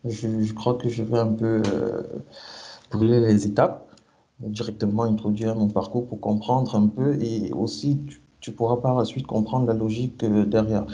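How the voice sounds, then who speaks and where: A man's voice: lowish voice, flat delivery, normal speed, mellow. A man in his thirties from Cameroon, answering interview questions about his career, hesitant, with many fillers and restarts. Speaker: SAP consultant guest.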